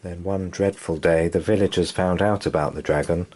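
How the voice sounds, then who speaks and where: A man reading a children's bedtime story aloud in an animated storytelling style. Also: sits low, moderate pace.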